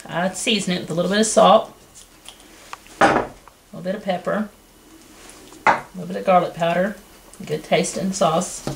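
A woman in her thirties hosting a cooking show, explaining each step in a plain, homey, unhurried way in a rustic wooden kitchen. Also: lowish voice, creaky. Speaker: home cooking show host. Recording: noisy home video with kitchen clatter.

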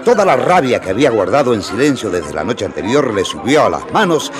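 A man acts as the narrator of a radio drama, telling his own past. His mid-pitched voice is expressive and varied, with music behind. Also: brisk, lightly acted. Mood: storytelling.

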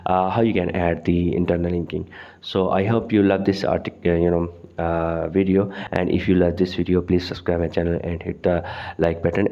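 A man whose first language is Urdu gives a screen-recorded tutorial, halting often with fillers and restarts. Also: low-pitched, warm tone, dull audio. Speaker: tutorial host.

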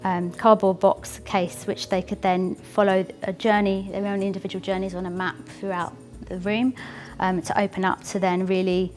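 A young woman, an interior design student, explaining her design proposal thoughtfully, pausing with ums, in an ornate synagogue hall. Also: medium pitch, flat delivery, darkish tone, soft background music.

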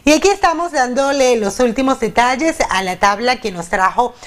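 A woman's voice: on the high side, moderate pace, soft background music. A woman, hosting a cooking segment on a TV studio kitchen set and speaking straight to viewers. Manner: upbeat, addresses viewers directly. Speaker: TV show host. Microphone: lapel mic.

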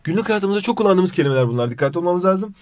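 A man's voice: sits high, animated, rapid-fire, darkish tone, no echo, clean studio sound. A man with a Turkish accent teaching an English lesson in a jokey way, playfully scolding as he goes. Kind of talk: scripted lesson with playful banter.

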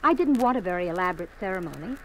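A woman's voice: fairly low pitch, lively intonation, very fast, low-quality recording. Urgent young lady, swooping voice. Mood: composed pretense. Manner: pleading, insistent. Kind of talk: 1950s radio acting. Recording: old radio recording, faint noise.

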